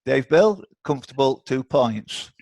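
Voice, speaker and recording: A man's voice: mid-range voice, animated, measured pace, darkish tone, no room sound. A man hosting a live online quiz, chatty and encouraging as he reads questions aloud. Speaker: quiz host. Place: online video call.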